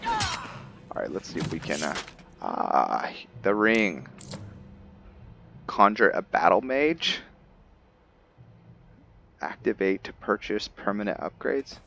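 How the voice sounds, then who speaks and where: A man giving casual commentary as he plays a roleplaying game, thinking aloud in a halting way. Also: on the low side, huge pitch swings, creaky, fairly bright tone, a little hiss or hum. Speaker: gameplay host.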